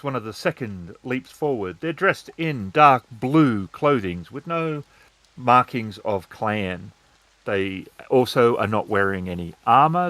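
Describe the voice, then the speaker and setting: A man's voice: medium pitch, fairly bright tone, no room sound. A man narrating a tabletop roleplaying game as its game master, describing the action.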